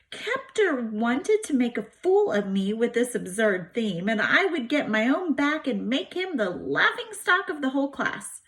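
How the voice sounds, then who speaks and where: A woman reading a diary aloud to students as a teacher, with expressive, emphatic storytelling phrasing. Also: sits high. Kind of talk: animated read-aloud of a diary book.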